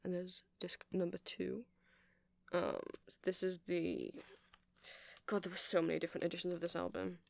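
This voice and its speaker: A woman's voice: on the low side, level tone, normal speed, gravelly, a little mellow, dry recording. A woman giving a rambling, chatty ASMR demonstration, explaining things step by step. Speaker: ASMR creator.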